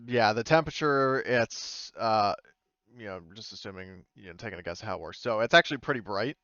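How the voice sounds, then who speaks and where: A low-voiced man improvises as game master in a tabletop session. His tone is smooth, and he talks haltingly, feeling for words as he goes. The sound is dry and a little muffled. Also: animated, sharp tone, no echo. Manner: halting, tentative, searching for words.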